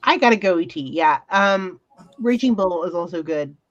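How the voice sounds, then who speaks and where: A woman's voice: lowish voice, expressive, moderate pace. A woman chatting casually as co-host of a talk stream, with a giggly manner. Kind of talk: casual chat. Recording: muffled lo-fi stream with music underneath. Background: music.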